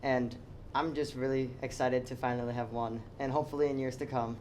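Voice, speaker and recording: A teenager speaks about winning with enthusiasm but in a level, flat tone. A faint hiss sits behind the voice. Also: mid-range voice, brisk. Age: teens. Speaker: student band member.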